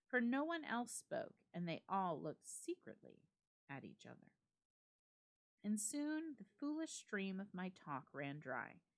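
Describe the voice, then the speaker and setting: Female reader for classic ghost story: slightly low register, highly animated melody, measured and expressive delivery. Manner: measured, expressive, deliberate phrasing with pauses. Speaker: library staff member reading a story aloud.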